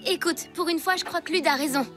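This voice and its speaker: A woman's voice: extremely high pitch, fast talker, over music. A woman voicing a practical, matter-of-fact young child in a prehistoric cartoon. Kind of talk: French cartoon dub acting.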